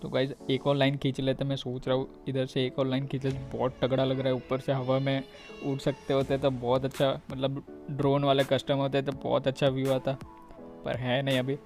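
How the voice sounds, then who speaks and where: A man's voice: medium pitch, completely flat delivery, brisk, darkish tone, faint music behind. A man with an Indian accent giving casual, informal commentary on a video game, talking directly to his viewers. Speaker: gaming video creator.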